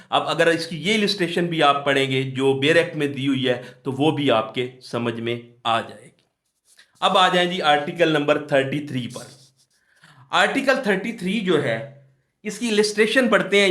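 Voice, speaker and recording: A man's voice: fairly high pitch, a bit bright. A man with a Pakistani accent giving a lecture, reading out formal text and explaining it step by step with repeated phrases. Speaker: law lecturer. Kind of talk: exam-focused lecture, reading statutes aloud and explaining with examples. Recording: echoey room.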